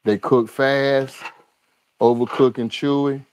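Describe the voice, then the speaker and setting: Slow-talking man hosting a live cooking stream. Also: mid-range voice, fairly even intonation, darkish tone, a little hiss or hum. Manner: drawling, talks to viewers as y'all, repeats lines. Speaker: cooking show host. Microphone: overhead camera on the skillet, speaker unseen.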